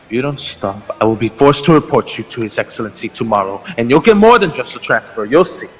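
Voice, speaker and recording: An actor playing a proud, stern army lieutenant with a sardonic edge in a radio drama. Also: male voice, medium pitch, expressive, quick pace, slightly rough. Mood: stern, threatening. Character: proud, threatening army lieutenant loyal to the governor. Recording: long hall reverb, music underneath.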